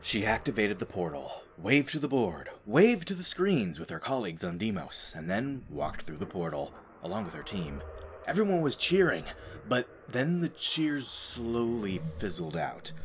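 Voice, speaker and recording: A man with a low voice narrates a dramatic story in a wry, informal way. Faint ambient noise sits behind his voice. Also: big pitch swings, moderate pace.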